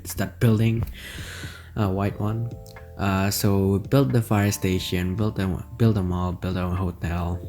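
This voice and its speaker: Low-voiced man. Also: dark tone. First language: Indonesian. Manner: rambling, many fillers and self-corrections. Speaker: gaming channel host. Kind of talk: casual gameplay commentary.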